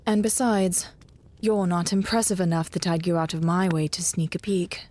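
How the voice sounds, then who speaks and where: A woman playing a sharp-tongued but caring young female bard in an audio drama, wry and matter-of-fact. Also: on the low side, moderate pace, natural voice. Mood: wry, annoyed. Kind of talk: fan audio drama acting.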